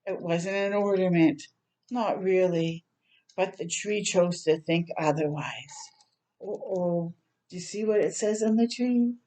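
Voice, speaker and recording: A woman reading a picture book aloud to young children in a gentle, expressive storytime manner. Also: lowish voice, unhurried. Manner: gentle, expressive, prompting listeners with questions.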